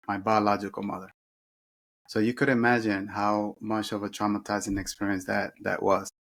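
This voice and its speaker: Middle-aged man from the Dominican Republic; low, monotone, earnest interview storyteller. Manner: earnest, with frequent restarts and self-corrections. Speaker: guest sharing life story.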